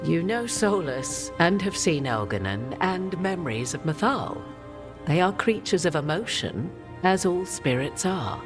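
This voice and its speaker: An actress playing a cryptic, proud woman mage and keeper of old secrets, who speaks in a measured, archaic and aloof way in a video game cutscene. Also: lowish voice, very animated, over music.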